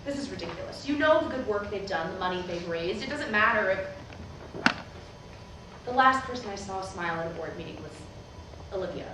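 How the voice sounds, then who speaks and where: A woman acting a pragmatic, brisk pastor's wife in a staged play reading. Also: mid-range voice, expressive, natural voice. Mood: brisk, insistent. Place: theatre at a live staged reading. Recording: echoey, noisy hall recorded from far off.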